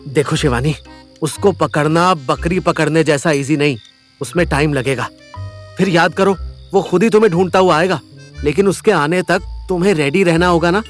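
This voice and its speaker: Guy acting level-headed young hero in audio drama, higher voice, firm n reassuring, music under him. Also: moderate pace, lightly acted. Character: Manu, battered, level-headed young man taking charge. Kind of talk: audio drama acting in Hindi with English words. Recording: music bed behind voice.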